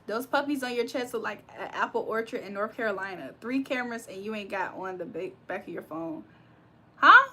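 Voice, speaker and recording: A woman's voice: a little high, bright, forceful tone. A young woman from Virginia hosting a livestream, reading comments aloud and reacting with frequent laughs. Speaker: livestream host reading chat. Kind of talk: casual live chat.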